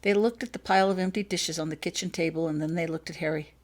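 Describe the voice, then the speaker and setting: A woman's voice: on the low side, fairly even intonation, fast. A woman reading scripted audiobook fiction as the author of the story.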